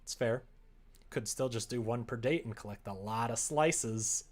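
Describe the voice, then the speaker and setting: A man with a mid-pitched voice chats casually on a livestream while he writes. He thinks out loud, muttering his way through ideas in an informal, unpolished way. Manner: thinks aloud, reads typed prose slowly and haltingly. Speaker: streamer writing a visual novel. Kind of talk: casual livestream chat, murmuring draft lines while writing.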